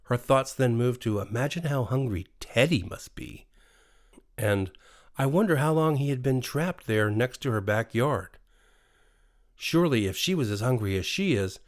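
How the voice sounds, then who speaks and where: A man with an American accent reading a scripted bedtime horror story in an expressive, dramatic way. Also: medium pitch. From USA. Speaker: storyteller, narrator. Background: faint music.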